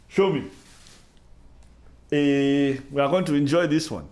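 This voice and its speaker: A man's voice: fairly high pitch, unhurried, darkish tone, natural voice. A man putting on a mock African accent, speaking in stilted formal phrases ending in "eh?" while reacting to merch on a stream. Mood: playful mock.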